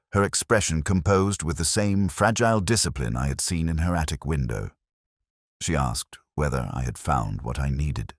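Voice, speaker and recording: A man narrating a scripted first-person detective story in a measured, solemn, literary manner. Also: extremely low pitch, dry recording, clean studio sound.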